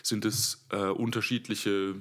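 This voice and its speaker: A man in his twenties, an opera singer, answering interview questions thoughtfully with many pauses and restarts. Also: lowish voice, level tone, a bit bright. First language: German. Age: 20s.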